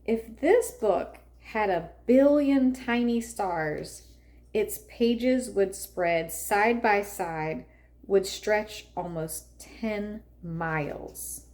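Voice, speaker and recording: A woman reading a children's picture book aloud expressively, with deliberate phrasing and drawn-out emphasis. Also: mid-range voice, a little mellow. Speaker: read-aloud narrator for children.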